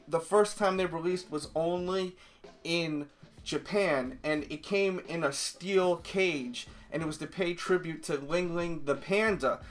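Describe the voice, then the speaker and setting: A chatty man with a New York accent talks straight to his viewers, rambling with fillers. His voice is bright and forceful and sits a little high. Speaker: sneaker YouTuber. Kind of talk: casual vlog talk to viewers.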